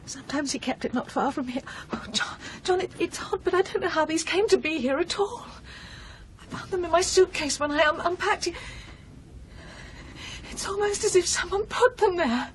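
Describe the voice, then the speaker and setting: An actress playing an anxious, haunted new wife in a radio drama, fearful yet defiant, speaking hesitantly and breathily. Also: female voice, very high-pitched, normal speed, a little hiss or hum. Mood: hesitant, uneasy.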